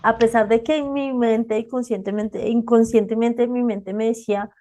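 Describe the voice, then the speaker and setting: A woman's voice: on the high side, fairly even intonation, normal speed, mellow. A woman hosting a podcast, talking conversationally about her own saving habits and asking her guest questions. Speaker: podcast host. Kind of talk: casual podcast interview.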